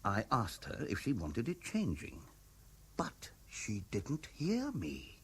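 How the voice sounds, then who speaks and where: An actor with a British accent playing a troubled, earnest middle-aged husband in a 1970s radio drama, hesitant but insistent. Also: male voice, medium pitch, huge pitch swings, takes it slowly, slightly rough, full character voice. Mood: troubled, recalling.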